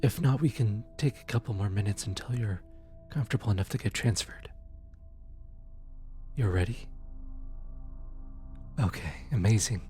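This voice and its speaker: A performer playing a gentle demon receptionist from hell in an ASMR roleplay, speaking in a reassuring, hesitant manner. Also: mid-range voice, lightly acted. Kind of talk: ASMR roleplay, scripted comfort acting. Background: music.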